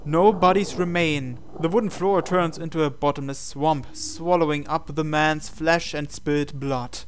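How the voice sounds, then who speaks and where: A man reading visual novel text aloud as a measured, dramatic storyteller and host. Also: on the high side, steady tone, slight background noise.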